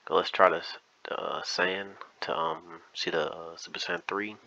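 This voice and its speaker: A man's voice: sits low, very animated, a little slow, creaky, bright, forceful tone, dull audio. A man giving casual gameplay commentary, hesitant and thinking aloud as he goes, often trailing off. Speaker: gaming video host.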